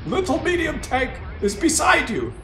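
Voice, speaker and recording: A man voicing an excitable, mocking game commentator who speaks broken English in a put-on foreign accent. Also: very high-pitched, moderate pace, full character voice. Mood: excited, mocking. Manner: broken grammar, exclaiming and gloating. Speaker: host. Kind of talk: comic commentary on a game replay. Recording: roomy, distant mic with faint noise.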